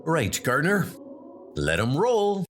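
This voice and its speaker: A man's voice: a little high, wildly swooping pitch, slow, pitched above the performer's own voice, full character voice. A man playing a brave adult armored knight, speaking in an earnest, rallying way. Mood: urgent. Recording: music playing underneath.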